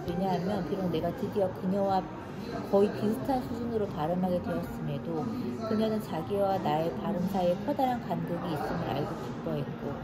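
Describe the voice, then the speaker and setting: Woman, audiobook narrator, calm measured reading, lowish voice, heavy background noise. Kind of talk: literary narration.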